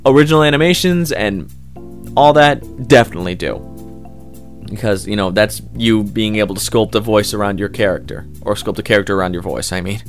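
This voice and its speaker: A man's voice: fairly low pitch, fairly quick. A man giving casual, rambling, unscripted interview answers as an amateur voice actor, informal and keen on the work. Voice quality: loud voice. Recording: music playing behind the voice.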